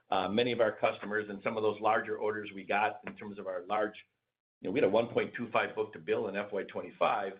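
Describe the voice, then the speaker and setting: Low-voiced male executive, casual. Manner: casual, repeats words, uses everyday comparisons. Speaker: company executive. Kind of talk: answering questions on a conference call. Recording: dull lo-fi call audio.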